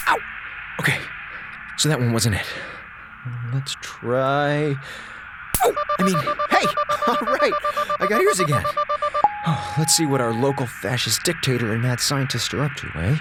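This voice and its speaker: A man's voice: medium pitch, huge pitch swings, a little slow, noisy background. An actor playing a sarcastic, self-pitying male comms officer stranded in space, talking to himself in a running monologue. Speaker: communications officer. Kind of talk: audio drama acting.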